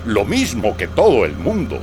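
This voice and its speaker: Rey mago cómico, muy expresivo. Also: male voice, wildly swooping pitch, moderate pace, a little mellow. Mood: wry, matter-of-fact. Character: comic, matter-of-fact Wise Man king Balthazar. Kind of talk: radio play acting for children. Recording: clearly noisy rumbling background.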